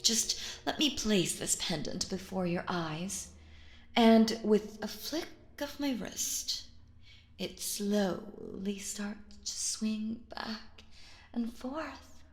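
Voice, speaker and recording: A woman playing a sinister, possessive stage magician in a roleplay audio, speaking theatrically and coaxingly in a slow, silky manner. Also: medium pitch, expressive, reverberant. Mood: coaxing, teasing menace. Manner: theatrical, slow, silky, addresses listener as dear. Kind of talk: scripted roleplay audio acting.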